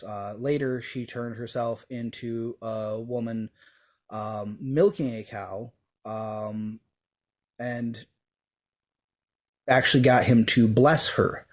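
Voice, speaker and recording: This is a man talking about his faith without a script. He speaks slowly, filling gaps with ums and uhs, and starts sentences over. His voice is soft, at a middle pitch. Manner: hesitant, many ums and uhs; slow and soft-spoken. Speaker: pagan devotee sharing his views. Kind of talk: unscripted talk to camera.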